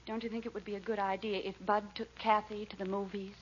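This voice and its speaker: Actress as a gentle mother, muffled old radio. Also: mid-range voice, level tone, fast talker, lightly acted. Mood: gentle, tactful. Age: middle-aged. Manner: soothing, polite. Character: gentle, tactful housewife mother. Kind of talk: old-time radio comedy acting. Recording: noisy, dull old radio recording.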